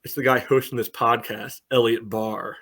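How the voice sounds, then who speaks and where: A man's voice: mid-range voice, flat delivery, moderate pace, a bit bright. A man co-hosting a sports podcast, talking casually with dry sarcasm and constant "you know" fillers. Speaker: podcast co-host and soccer fan pundit.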